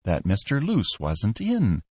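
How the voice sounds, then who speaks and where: A man narrating a story as an audiobook, with expressive, polished reading. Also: lowish voice, slow, breathy edge, no echo.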